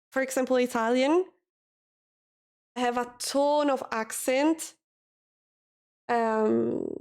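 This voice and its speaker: Streamer, a woman with a slightly high voice, who speaks slowly and searches for words in casual chat. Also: darkish tone. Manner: hesitant, searching for words, self-correcting.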